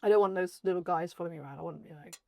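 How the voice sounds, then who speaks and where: A woman from South Wales talks as a guest in a relaxed mealtime interview. Her voice sits a little low, and she speaks informally with self-deprecating humour. The recording is very dry, without room echo. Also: racing, gravelly. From Cardiff area, Wales. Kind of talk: casual chat over a meal.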